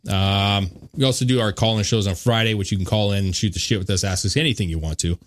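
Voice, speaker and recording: A man's voice: lowish voice, fairly even intonation, fast talker. A man hosting a podcast in loose, laid-back banter, swearing freely and chuckling between remarks. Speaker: podcast host.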